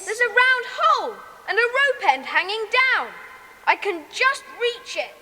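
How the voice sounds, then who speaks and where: An actress playing a decisive young boy who leads his gang in a children's audio drama, brisk and commanding. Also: very high voice, very animated, sharp tone, heavily acted. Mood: excited, commanding. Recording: long hall-like reverb.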